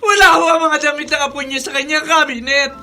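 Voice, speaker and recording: A woman's voice: sits high, quick pace, over music, natural voice. An actress playing a guilt-ridden, distraught mother in a radio melodrama, tearful and pleading. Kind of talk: Tagalog radio drama acting.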